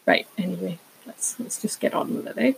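A woman's voice: a little fast, slight background noise, poor audio. A woman, chatting casually as a solo podcast host in a rambling way with self-aware asides. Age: 20s.

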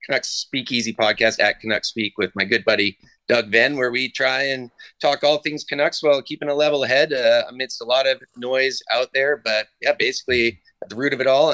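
A man, a guest on a sports podcast, talking casually with many filler words from a quiet room in a house over a video call. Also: medium pitch, clear, resonant tone, no room sound.

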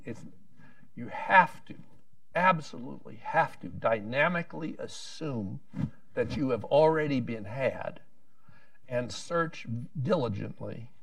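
A man's voice: medium pitch, animated, unhurried, creaky, fairly bright tone, no room sound, recorded from a distance. A man speaking on a policy panel, rambling with frequent restarts and wry asides.

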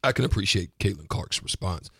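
A man with a raspy voice co-hosting a sports talk show in a casual, colloquial way, repeating phrases for emphasis. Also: low-pitched, animated, fairly quick, fairly bright tone, dry recording, clean studio sound. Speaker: sports talk radio co-host.

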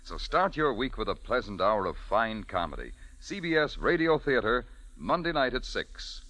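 A male announcer gives a crisp, bright promotional read in classic network style. His pitch rises and falls lively, and the sound is dry and close. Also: normal speed, no room sound, rough recording. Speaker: radio announcer.

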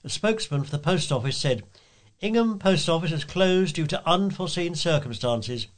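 A man's voice: a little high, animated, normal speed. A man reading scripted local news items aloud as a volunteer news reader.

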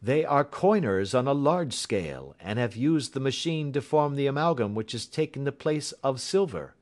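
A man playing a calm, dryly superior detective in an audiobook, speaking with deliberate assurance. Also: mid-range voice, voice pushed up, natural voice. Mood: matter-of-fact. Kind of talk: audiobook character acting.

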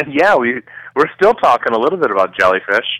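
A man's voice: mid-range voice, very animated, fairly quick, sharp tone, no room sound, rough recording. A man speaking as an expert guest in a casual radio interview, explaining things in plain words. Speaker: guest, neuroscience researcher and company co-founder.

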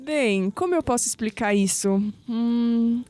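Woman acting coy young Brazilian woman, mellow voice. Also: a little high, a little slow, clean tone, close to an everyday voice. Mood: poised, explaining. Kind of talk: audio drama acting.

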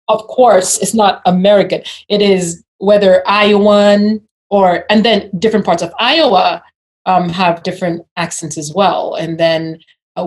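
Reflective woman from Uganda. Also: mid-range voice. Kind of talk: casual chat.

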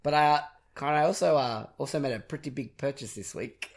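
A young man hosting a podcast in casual chat, his voice husky and raspy from a cold and singing, rambling and self-deprecating. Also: mid-range voice, brisk. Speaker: podcast host.

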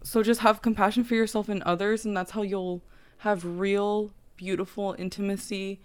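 A young woman co-hosting a relaxed podcast chat, earnest and encouraging, dropping a casual swear now and then. Her voice is smooth and clear at a middling pitch. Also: moderate pace. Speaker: podcast co-host.

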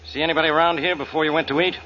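Blustering suspicious cop, American, forceful higher-set voice, sarcastic, muffled hissy vintage radio sound. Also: male voice, fairly even intonation, racing. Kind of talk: 1940s American radio drama acting. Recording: muffled old radio recording, hissy noise.

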